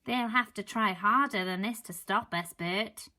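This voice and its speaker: A woman's voice: mid-range voice, unhurried, sharp tone. A woman voicing cheeky, greedy seagulls with a cocky, taunting way of talking.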